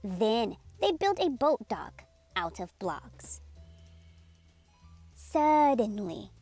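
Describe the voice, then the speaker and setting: A woman narrating a children's read-aloud in a deliberate, sing-song way as storytime host. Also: on the high side, sing-song, a little mellow, soft background music.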